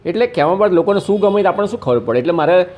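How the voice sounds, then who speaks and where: Fast-talking Gujarati man, slightly high-pitched. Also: lively intonation, darkish tone. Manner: insistent, explanatory, colloquial. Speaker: activist and social media video maker. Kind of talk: casual argumentative conversation.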